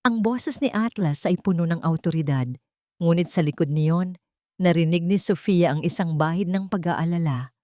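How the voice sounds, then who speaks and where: A woman's voice: lowish voice, moderate pace, a little mellow, no echo, studio quality. A woman reading a scripted dramatic story as the narrator of an audio drama.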